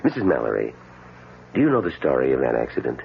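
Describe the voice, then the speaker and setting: An actor playing a grave, courteous doctor in an old-time radio drama, speaking in a formal, measured, authoritative way. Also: male voice, a bass voice, wildly swooping pitch, vocal fry, full character voice. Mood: grave, explaining. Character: grave, courteous male doctor explaining a patient's cure. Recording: tinny, hissy old radio recording.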